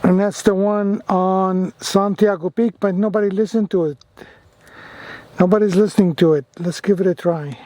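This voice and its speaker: A man's voice: high voice, fairly even intonation, moderate pace, mellow. A man vlogging casually about amateur radio gear, talking enthusiastically and often repeating his phrases. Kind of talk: casual product demo and radio operating.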